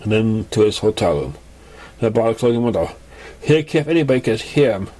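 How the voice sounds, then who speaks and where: A man's voice: lowish voice, expressive, brisk, mellow. A man reading fan fiction aloud as an amateur narrator, often stumbling over words and restarting them. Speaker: amateur story reader.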